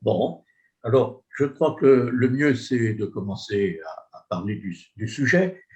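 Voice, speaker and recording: A man's voice: mid-range voice, animated, a little slow, a little mellow. A man moderating a webinar panel over a video call, speaking informally and familiarly with some hesitation. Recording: dull lo-fi audio.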